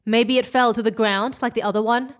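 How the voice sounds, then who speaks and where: A woman's voice: a little high, fairly even intonation, fairly quick, natural voice. A woman playing an earnest, questioning crew member in a science fiction audio drama.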